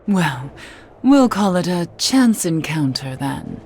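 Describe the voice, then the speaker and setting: A woman playing a mysterious cursed witch in a video game, speaking in a grave, composed, measured way. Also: fairly low pitch, very animated, slight background noise, heavily acted. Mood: composed, cool. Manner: measured, grave, quietly dramatic. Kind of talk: video game cutscene acting.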